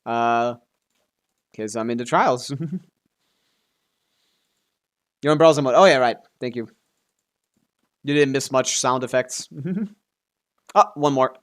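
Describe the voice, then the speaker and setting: Man, game streamer, casual chatty commentary, laid-back, mid-pitched voice. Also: slow pace, pauses often, fairly bright tone. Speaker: live streamer playing a video game.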